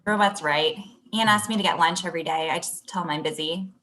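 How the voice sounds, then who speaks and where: A woman's voice: fairly low pitch, brisk, pushed and bright. A young woman playing a polite, patient thirty-year-old businesswoman in a comedy script read over a video call. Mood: earnest. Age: young adult. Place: indoor room at home, video call. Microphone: computer mic on video call.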